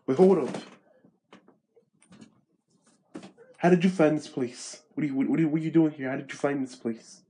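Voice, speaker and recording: A man's voice: fairly high pitch, fast, dark tone, slightly rough. A young man playing a brash street thief. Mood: alarmed. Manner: talks to himself in a restless ramble. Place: outdoors in a back alley.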